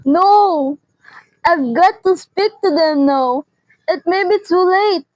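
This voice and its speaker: Young woman acting a high-voiced, frantic, imperious wife. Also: slow pace, a little mellow, dry recording, rough recording. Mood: desperate, pleading. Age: teenager. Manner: indignant, haranguing, repeats phrases. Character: frantic, imperious bedridden wife, nervous and demanding. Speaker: student performer. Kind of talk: amateur radio drama acting.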